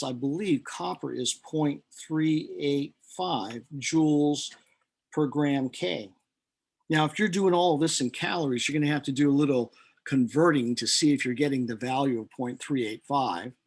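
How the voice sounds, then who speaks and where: A man teaches in a slightly high voice with a husky edge. He explains things step by step as a chemistry instructor would, in an informal but deliberate way. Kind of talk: lecture working through equations step by step.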